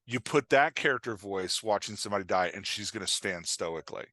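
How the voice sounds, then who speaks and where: A man talking casually as a podcast guest and writing coach, explaining in an informal, halting way with emphatic check-in questions. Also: lowish voice, expressive, bright, forceful tone, dry recording.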